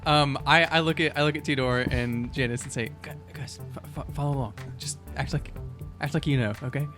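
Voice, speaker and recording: A man with a mid-range voice plays along in a tabletop game, talking casually and jokingly. His delivery is halting, with repeated starts, and music plays behind him. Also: mid-range voice, steady tone. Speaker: player in tabletop game podcast.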